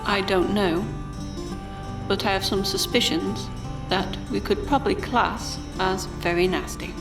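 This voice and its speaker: Woman playing brisk polite time traveller, formal n wry, in charge, audio drama with music under it. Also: mid-range voice, close to an everyday voice.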